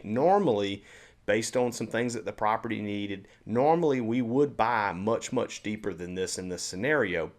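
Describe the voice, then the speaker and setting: This is a man with a somewhat low voice, talking casually to camera. His delivery is upbeat and salesy, with repeated words and restarted sentences. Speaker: real estate investor and educator.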